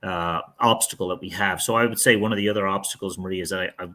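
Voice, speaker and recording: A man's voice: low-pitched, steady tone, fast, pushed and bright. A middle-aged man, a real estate team leader, giving candid, rambling interview answers with frequent restarts. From outside the United States.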